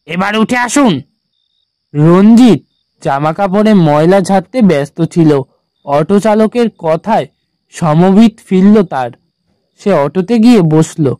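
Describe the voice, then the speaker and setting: A man narrating a scripted horror story in a measured, suspenseful manner. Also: on the high side, dark tone, dry recording.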